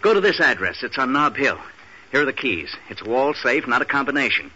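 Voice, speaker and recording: An actor playing a shady, desperate assistant pushing a deal in a vintage radio drama. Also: male voice, mid-range voice, very animated, brisk, bright, forceful tone, husky, full character voice. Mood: urgent, furtive. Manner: urgent, pushy. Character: shady, pushy, desperate male bookkeeper's assistant. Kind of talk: 1940s radio drama acting. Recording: muffled old radio recording.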